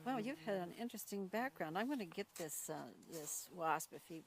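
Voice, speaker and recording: A woman's voice: on the low side, animated, a little fast, noisy background. A woman hosting a casual, chatty interview in an informal, folksy and encouraging manner. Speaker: host introducing a new ranch worker.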